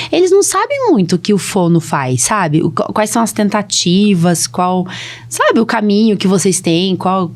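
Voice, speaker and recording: A woman's voice: medium pitch, darkish tone. Brazilian female podcast host, mid-range voice, informal interview chat. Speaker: podcast host, allergist doctor.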